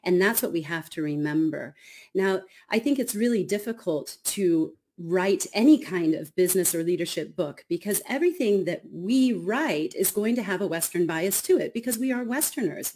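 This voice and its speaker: A woman, an author speaking as a guest on a radio interview over a video call, animated and quick to laugh. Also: fairly low pitch, slight background noise. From United States. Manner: animated, laughs often, acts out quotes.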